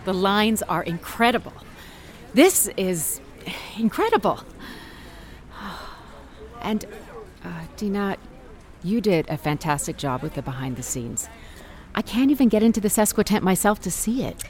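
An actress playing an appreciative boss who speaks sincerely and encouragingly. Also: female voice, mid-range voice, animated, a little slow, pauses often, close to an everyday voice. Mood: impressed. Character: appreciative female boss under pressure. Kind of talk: audio drama acting.

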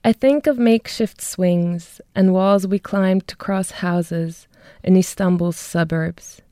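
A woman's voice: lowish voice, takes it slowly, mellow, no room sound, studio quality. A young woman from Germany reading her poetry and talking thoughtfully about her life, with light laughs between her words. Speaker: poet and guest. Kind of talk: poetry reading and interview answers.

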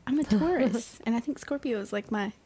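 A woman's voice: on the high side, a little fast, warm tone, a little hiss or hum. A woman, a podcast guest in casual chat, hesitant and searching for her words.

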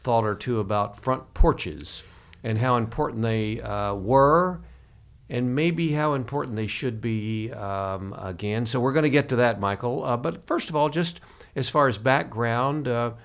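A man hosting an interview, speaking haltingly with many fillers. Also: medium pitch. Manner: hesitant, many fillers, friendly. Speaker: host.

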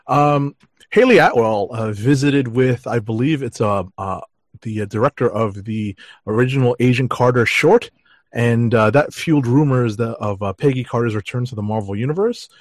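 A man hosting a podcast, chatting casually through news items with halting, measured phrasing. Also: mid-range voice, dry recording. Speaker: podcast host. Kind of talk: casual comic book news chat.

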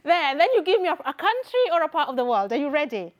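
A woman's voice: sits high, big pitch swings, quick pace. Playful Kenyan woman speaking to a church audience. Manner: playful, laughs often, teasing the audience. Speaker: conference speaker. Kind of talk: church conference talk with audience game. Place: church hall with live audience.

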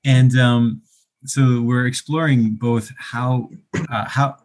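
A man who is a pastor hosting a podcast and asking a guest questions in a friendly, measured way. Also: mid-range voice, steady tone, a little mellow. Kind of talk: conversational podcast interview.

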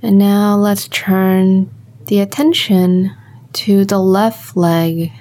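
A woman guiding a body scan meditation, speaking calmly and gently, giving unhurried instructions with long pauses. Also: mid-range voice. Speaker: meditation guide.

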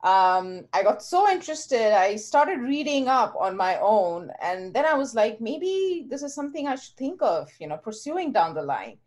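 A woman's voice: fairly high pitch, very animated, normal speed, few pauses, a little mellow. A woman from India, a geoscience professor talking earnestly as a podcast guest. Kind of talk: casual interview talk.

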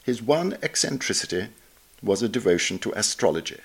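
A man narrating a written essay aloud in a measured, formal way with careful enunciation. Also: on the low side, big pitch swings, husky. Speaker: narrator reading an article aloud. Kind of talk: scripted narration of a literary essay.

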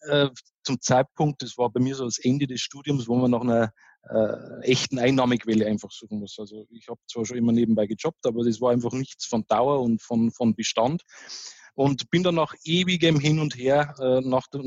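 A man with a Bavarian accent tells his founding story in a relaxed, chatty way. He is full of little fillers and restarts. His voice is slightly husky, and the recording is dry and a little dull. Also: medium pitch, dry recording. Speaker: start-up founder, guest.

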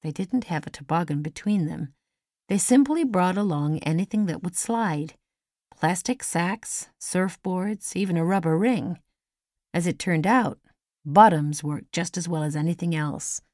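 A woman's voice: on the low side, a little slow, a little mellow, dry recording, studio quality. A woman reading a first-person children's story as an audiobook narrator.

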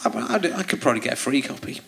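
A man's voice: mid-range voice, very animated, fast talker, vocal fry, very noisy. A man hosting a live theatre podcast, teasing his guest in a rambling, joking way and laughing at his own jokes. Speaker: podcast host. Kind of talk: casual chat interviewing a guest. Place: theatre stage.

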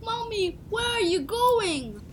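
A woman's voice: very high voice, very animated, slow pace, slight background noise, heavily acted. A woman voicing a sulky, pleading little boy in an audio drama. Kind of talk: acted audio fiction.

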